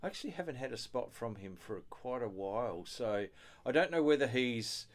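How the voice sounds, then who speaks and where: A man hosts a casual amateur radio chat in a studio. His voice sits at an ordinary middle pitch. He talks conversationally and affirms readily, with an easy tendency to chuckle. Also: lively intonation, moderate pace. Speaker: host of an amateur radio show.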